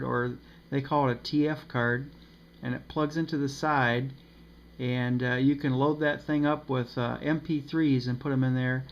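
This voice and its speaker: A man giving a casual, unscripted review of a radio, pausing often with ums and uhs. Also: mid-range voice. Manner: hesitant, many ums and uhs. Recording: slightly dull with faint noise.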